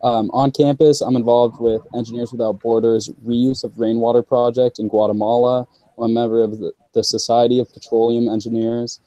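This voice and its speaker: A man's voice: medium pitch, little pitch movement, brisk, hardly any pauses, mellow, no room sound, highs cut off. A young man from Alberta, Canada introducing himself in a friendly way, rattling off his activities. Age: young adult. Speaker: student ambassador. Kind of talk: casual self-introduction.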